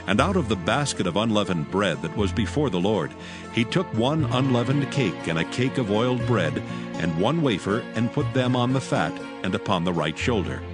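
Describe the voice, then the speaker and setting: A man narrating scripture from a script in a solemn manner, with measured phrasing. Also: fairly low pitch, animated. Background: music.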